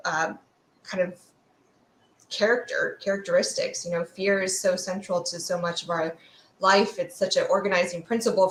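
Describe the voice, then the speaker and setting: Echoey, lower-pitched woman explaining thoughtfully. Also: slight background noise. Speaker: sociologist, expert guest. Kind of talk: conversational science explanation in an interview. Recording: roomy, echoey room sound.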